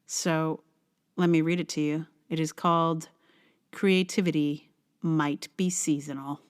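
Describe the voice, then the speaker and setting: Expressive middle-aged woman podcaster. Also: low-pitched, a little slow. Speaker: podcast host and writer. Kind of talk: personal podcast, chatting and reading own blog aloud.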